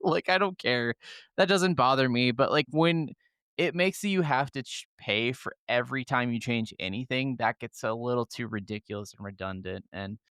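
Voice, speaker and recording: Male co-host, average pitch, crisp clean voice, dry recording, irreverent sweary gamer chat. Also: normal speed, a bit bright, no echo. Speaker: gaming podcast co-host. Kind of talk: casual opinionated podcast chat.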